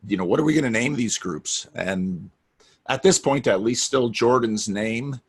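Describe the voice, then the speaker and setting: A man's voice: medium pitch, moderate pace, slightly rough. A man telling stories earnestly about the gatherings he organizes, often restarting his sentences. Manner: earnest, storytelling, frequent restarts. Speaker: guest, meetup organizer. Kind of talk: casual conversation.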